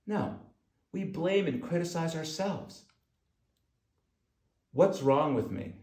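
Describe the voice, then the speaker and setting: Guy reading his self-help audiobook, kinda high voice, talks right at you with emphasis, roomy echo. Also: a little mellow. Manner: emphatic, direct address to listener. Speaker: author narrating own audiobook. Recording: echoey room sound.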